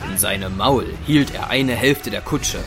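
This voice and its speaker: A man's voice: lowish voice, unhurried, lightly acted. A man narrating a fantasy audio drama in scripted, dramatic storytelling style. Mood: dramatic, descriptive. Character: male storyteller of a fantasy adventure. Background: music.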